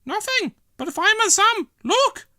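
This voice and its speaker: Storybook village busybody, played by a man: female, very high falsetto, shrill, grumbling, flustered, bright. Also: sing-song, a little slow, no echo, studio quality, exaggerated voice. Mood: excited.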